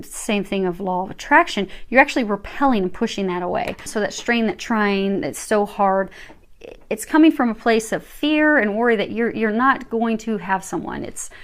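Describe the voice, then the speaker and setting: A woman tarot reader giving an informal, reassuring card reading, speaking haltingly and repeating her phrases as she offers spiritual advice. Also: medium pitch, expressive.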